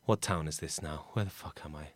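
A man's voice: rumbling low, fairly quick, no echo, studio quality. A man playing a hapless, chatty, sweary Englishman stranded abroad in an improvised comedy drama. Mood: forlorn muttering. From England. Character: Bob, hapless sweary Englishman stranded in France, missing his dad.

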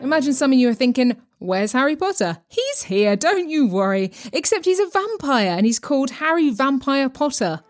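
A woman's voice: high-pitched, very animated, normal speed, few pauses, soft background music. A woman presenting a podcast with scripted, tongue-in-cheek narration.

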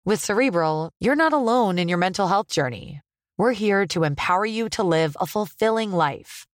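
A woman's voice: medium pitch, lively intonation, moderate pace, no echo, studio quality. A woman reading a scripted promotional voice-over for an advert.

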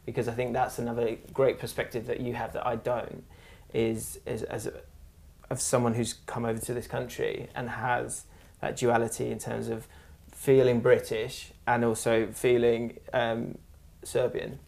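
A man's voice: mid-range voice, completely flat delivery, moderate pace, creaky, a little mellow. A man hosting a podcast interview, asking open questions in an encouraging, conversational way. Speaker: podcast host.

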